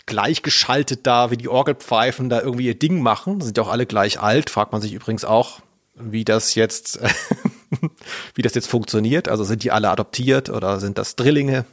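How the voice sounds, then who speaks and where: A man's voice: medium pitch, no echo. Male podcast host, close and dry. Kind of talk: casual discussion, some reading aloud.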